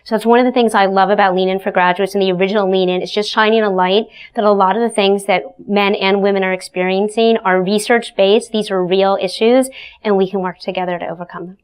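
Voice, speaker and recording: A woman on a live webcast panel with a smooth, clear voice. She speaks in an upbeat, earnest way, explaining things step by step. Her pitch is typical for a woman. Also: steady tone, fast talker. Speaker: panelist from a nonprofit.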